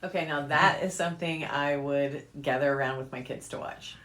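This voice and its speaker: A woman's voice: low voice, moderate pace, noisy background. A woman chatting casually as a podcast co-host. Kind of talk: casual chat.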